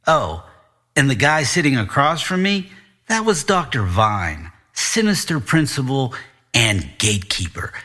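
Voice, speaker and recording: A man's voice: medium pitch, very animated, unhurried, pushed and bright, exaggerated voice. A man narrating a noir story as a confused, self-mocking tenth-grade boy, in a conversational, sardonic way. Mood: wry. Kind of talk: first-person noir audiobook narration.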